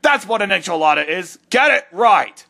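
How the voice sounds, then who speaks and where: Man voicing an irritable high-pitched cartoon echidna. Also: big pitch swings, normal speed, creaky, pushed and bright, full character voice. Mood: exasperated. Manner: indignant, scornful, easily exasperated. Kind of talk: comedic dramatic reading with exasperated asides.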